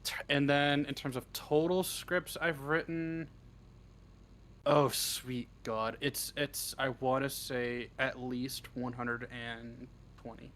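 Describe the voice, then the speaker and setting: Male interview guest, mid pitch, casual and halting, self-deprecating, loose with swearing. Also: steady tone, a bit bright, a little hiss or hum. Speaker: interview guest, script writer. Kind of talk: casual chat.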